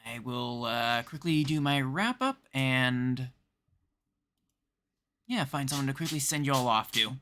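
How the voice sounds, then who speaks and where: A man's voice: mid-range voice, animated, takes it slowly, long pauses, pushed and bright, slight background noise. A man from Canada chatting casually as he livestreams a game.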